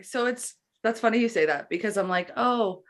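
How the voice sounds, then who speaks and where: A woman's voice: a little high, moderate pace. A woman from Canada co-hosting a podcast, chatting in an informal, slangy, conversational way. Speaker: podcast co-host. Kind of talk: casual podcast chat.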